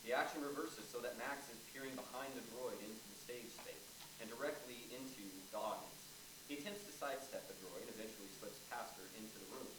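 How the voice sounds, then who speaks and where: A man's voice: mid-range voice, a little fast. A man narrating a staged play reading, reading out scripted stage directions. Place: large indoor theatre space, staged reading. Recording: distant echoey room, clearly noisy.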